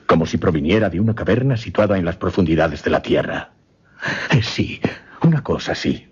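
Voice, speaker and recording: An actor playing a shaken gentleman recounting a supernatural horror in a radio play, grave, formal and halting. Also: deep voice, lively intonation, breathy edge, exaggerated voice. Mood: flustered, awed. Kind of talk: suspense radio theatre acting. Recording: dull, muffled radio recording.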